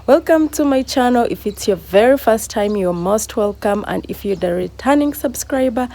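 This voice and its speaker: A woman's voice: fairly high pitch, expressive, moderate pace, vocal fry, warm tone. A woman from Uganda with a Ugandan accent, chatting upbeat and repetitive to camera in her village coffee garden. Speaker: vlogger. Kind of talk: casual vlog talk to camera. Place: outdoors in a rural coffee garden.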